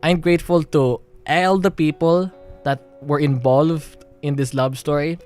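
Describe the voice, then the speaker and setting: A man's voice: on the high side, fairly even intonation, measured pace, darkish tone, clear, resonant tone, soft background music. A young man from the Philippines with a Filipino accent, talking on a livestream in a rambling way, with many restarts and nervous laughs. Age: young adult. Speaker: streamer. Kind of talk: emotional livestream monologue.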